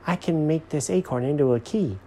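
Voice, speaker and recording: A man voicing an excitable, pleading talking squirrel in a children's story. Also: on the high side, fairly quick, slight background noise, pitched above the performer's own voice, close to an everyday voice. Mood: eager.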